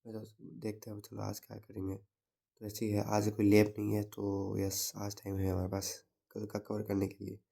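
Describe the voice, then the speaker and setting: A young man with a slightly sore throat, talking through his day as a student in an unhurried, reflective vlog. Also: lowish voice, completely flat delivery, gravelly, dark tone. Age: young adult. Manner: unhurried, reflective, mixes English words into the talk. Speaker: engineering student vlogger. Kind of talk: casual daily vlog recap.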